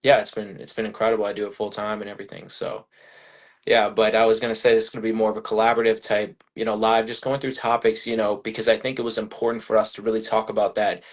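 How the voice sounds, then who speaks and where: Casting note: American male host, low flat delivery, rambling casual live-stream talk. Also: quick pace. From United States. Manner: loose, rambling, repeats phrases. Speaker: podcast host.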